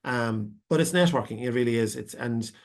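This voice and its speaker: A man's voice: medium pitch, moderate pace, creaky, darkish tone. An Irish man giving a long unscripted answer as a panelist on a video call, with many fillers and restarts. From Ireland. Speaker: webinar panelist.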